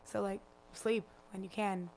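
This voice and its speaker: A raspy-voiced young woman from Chicago, chatting loosely as a guest musician, full of fillers and profanity. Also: medium pitch, steady tone, a little slow, darkish tone, a little hiss or hum. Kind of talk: casual interview chat.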